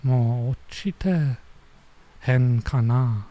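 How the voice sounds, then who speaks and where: A man doing casual let's-play commentary as a streamer, thinking aloud in a halting way and mixing in Japanese phrases. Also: mid-range voice, warm tone.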